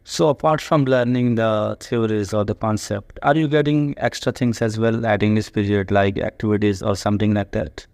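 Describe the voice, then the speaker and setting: A man, an English teacher, interviewing his student with measured, plain questions. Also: fairly low pitch, fairly even intonation, a little mellow, no echo.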